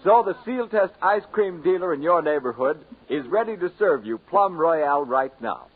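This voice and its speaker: A man's voice: a little high, expressive, moderate pace, husky, phone-quality sound. A man announcing on 1940s radio, reading scripted sponsor copy and scene introductions. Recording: scratchy 1940s radio recording.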